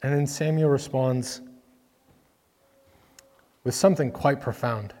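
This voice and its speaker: Man, preacher, casual filler-heavy sermon, hall with long echo, mid pitch. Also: slow, mellow. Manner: conversational, filler-heavy, voices characters when reading. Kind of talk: casual sermon with scripture reading. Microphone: microphone that cuts out.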